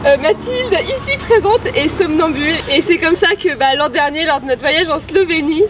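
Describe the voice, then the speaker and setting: A woman's voice: high voice, expressive, normal speed, a crowd in the background. A young woman with an accent from France, casually telling anecdotes as a traveller being interviewed in a car park. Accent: French, from France. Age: young adult. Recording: distant mic outdoors, faint noise and voices.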